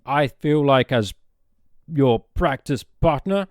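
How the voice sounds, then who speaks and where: A man's voice: mid-range voice, lively intonation, slow, a little mellow, no room sound, studio quality. A man playing an irritable, self-important space therapist who stammers and gets flustered in an improvised comedy. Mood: indignant. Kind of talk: improvised comedy audio drama.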